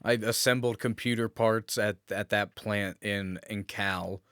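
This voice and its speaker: Low-voiced man chatting casually, dry close sound. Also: fairly even intonation, a little slow, a bit bright, smooth, no room sound. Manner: informal, mildly profane. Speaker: podcast co-host. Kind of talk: casual chat, swapping work stories.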